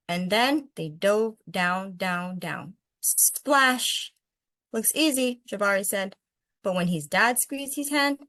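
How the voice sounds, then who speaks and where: A woman's voice: mid-range voice, fairly bright tone, no room sound, clean studio sound. A woman who hosts read-alouds of picture books and chats about them in an encouraging way. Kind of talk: picture-book read-aloud and casual discussion.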